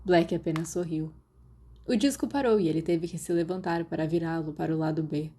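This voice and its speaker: A woman's voice: sits low, a little fast, mellow, breathy edge. A woman with a Brazilian accent reading scripted fiction as an audiobook-style narrator. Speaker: podfic narrator.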